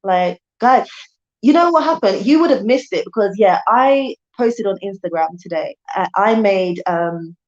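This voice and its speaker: A woman's voice: medium pitch, lively intonation, a little slow, a little mellow, highs cut off. A woman from Jamaica, chatting casually with her viewers on a livestream, repeating herself and calling them guys. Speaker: channel host.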